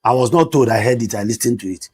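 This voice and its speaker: Fast, husky Nigerian man with a loud, lively voice. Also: medium pitch. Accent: Nigerian English. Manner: emphatic, excitable, rises sharply when stressing points. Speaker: discussion panelist. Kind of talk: animated studio discussion.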